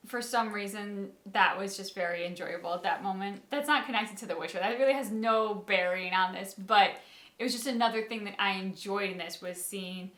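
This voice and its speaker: A woman of average pitch chats casually as co-host of a reaction show. She muses aloud and leans on her words emphatically. Her voice carries the echo of a roomy space. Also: brisk, fairly bright tone. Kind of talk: casual chat, giving opinions on a story. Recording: echoey room.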